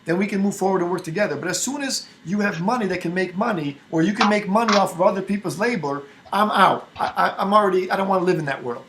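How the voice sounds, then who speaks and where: A man's voice: high voice, quick pace, few pauses, background noise. A man from Albania speaking emphatically in a casual group discussion, arguing his point with frequent restarts.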